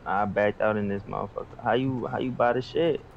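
A man's voice: lowish voice, steady tone, a little fast, vocal fry. An American man playing an online game, talking in casual, slangy role-play and often repeating himself. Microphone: online game voice chat. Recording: dull voice chat, faint car noise.

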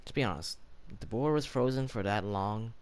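A man's voice: lowish voice, measured pace, clean tone. A man giving casual commentary on a video game. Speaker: gameplay commentator.

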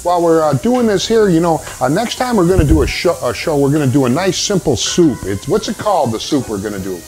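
A man's voice: a little high, sing-song, fast talker, a little mellow, faint music behind, rough recording. An older man co-hosting a home cooking show, casually chatting and asking the cook many questions. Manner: curious, asks many questions. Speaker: co-host. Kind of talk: casual kitchen chat. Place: home kitchen.

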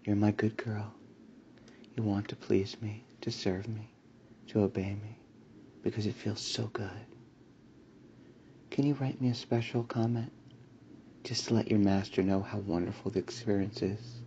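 A man delivering a slow hypnotic induction in a soft, soothing voice with breathy whispers and a repetitive commanding cadence. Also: on the low side, steady tone, lo-fi sound, slight background noise. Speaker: hypnosis audio creator.

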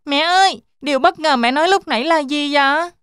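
A woman's voice: sits high, a little fast, a bit bright, dry recording. A woman voicing a sweet, eager little girl goat with a childlike, inquisitive manner in a children's cartoon. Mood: curious.

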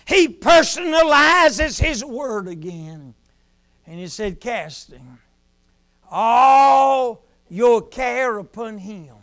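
A middle-aged man preaching a sermon from the pulpit of a small church. He swings between loud, shouted lines and quiet, hushed asides. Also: very high-pitched. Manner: preaching in rising shouts, then dropping to a hush.

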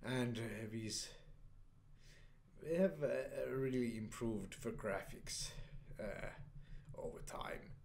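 Slow, hesitant man reading game text. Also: mid-range voice, vocal fry, slight background noise. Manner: hesitant, many fillers and restarts, reads dialogue in flat voice. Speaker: let's play player. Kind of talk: casual gameplay commentary, reading game text aloud.

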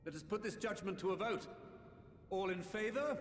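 An actor playing a stern, officious council chairman in a game, speaking formally and with measured authority. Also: high voice, slight background noise, close to an everyday voice. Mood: stern, official. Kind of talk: video game cutscene acting. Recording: echoey chamber reverb, music behind.

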